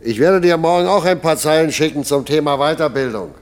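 A man's voice: a little high, a little fast, lightly acted. An actor in a German radio play playing a tactless, sour male workmate who talks with sarcasm. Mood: sneering.

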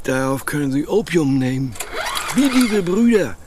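A man's voice: a little high, very animated, normal speed, background noise. An actor playing a grumbling, suspicious old male pensioner in a German radio play. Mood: conspiratorial, suspicious. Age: 60s or older. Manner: grumbling, emphatic, alarmist.

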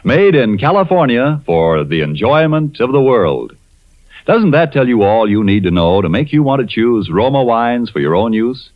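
A man's voice: medium pitch, sing-song, normal speed, dry recording. A man reading scripted narration and advertising as a 1940s radio announcer. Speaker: commercial announcer. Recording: dull 1940s radio recording, faint music.

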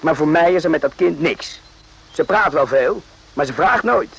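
Naive soldier in a radio play, highish raspy voice, defensive, repeats himself. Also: male voice, animated, moderate pace, a bit bright, exaggerated voice, low-quality recording. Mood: confiding. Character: evasive, boastful, naive young army private. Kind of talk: radio drama acting.

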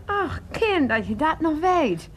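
A woman's voice: high-pitched, big pitch swings, slow, a little hiss or hum, full character voice. An actress in a radio play, playing a middle-aged aunt who dotes on her nephew and fusses and scolds with many exclamations. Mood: flustered, coy. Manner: exclamatory, fussing, chiding.

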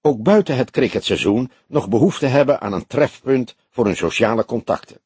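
Guy doing an old detective in an audiobook, laconic and unhurried, bit of a husky voice, dry sound. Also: medium pitch, expressive, a little mellow, no room sound. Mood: laconic, calm. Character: older grey-haired male detective, laconic, unhurried, thoughtful.

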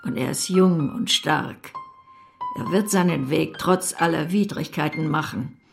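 An actress playing a brusque, sharp-tongued older aunt in a radio play, blunt and commanding. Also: female voice, low voice, unhurried, gravelly, over music. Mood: proud, caring. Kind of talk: German radio play acting.

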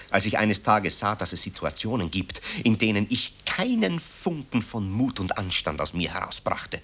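A man's voice: low voice, sharp tone, slightly rough, exaggerated voice. An actor playing a bitter, guilt-ridden composer who accuses his mother in eloquent, theatrical radio play style. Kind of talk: German radio play acting.

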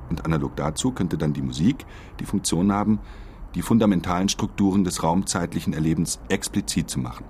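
An actor playing an earnest, long-winded envoy in a radio drama, speaking politely and articulately. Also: male voice, low-pitched, darkish tone, slight background noise, close to an everyday voice. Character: earnest, wordy male envoy pitching for a mysterious client.

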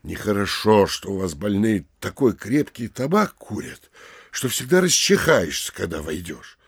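An actor playing a pompous, middle-aged provincial mayor, deliberate and admonishing, in a theatrical audio drama. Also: male voice, fairly low pitch, big pitch swings, husky, no echo, studio quality, full character voice.

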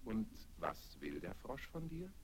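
A man playing a stern fairy-tale king and father in a 1970s children's audio play, measured and admonishing. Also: fairly low pitch, very animated, background noise. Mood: questioning. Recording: vinyl record transfer with music.

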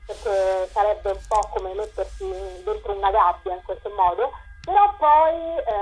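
A woman's voice: medium pitch, a little mellow, husky, low-quality recording. A woman being interviewed as a writer and performer, talking spontaneously and enthusiastically, often searching for words. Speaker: interview guest, writer and performer presenting her collective's show. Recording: thin band-limited radio or phone sound.

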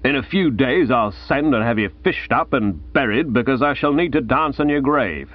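A man's voice: mid-range voice, lively intonation, normal speed, muffled recording, close to an everyday voice. A man voicing an exasperated middle-aged aunt who scolds her nephew theatrically. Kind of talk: audiobook character voice.

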